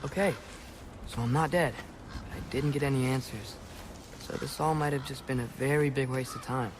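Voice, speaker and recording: A man's voice: medium pitch, steady tone, normal speed, background noise, close to an everyday voice. A young man playing an earnest, determined teenage boy who pleads his case in a cinematic video game. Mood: subdued, sheepish.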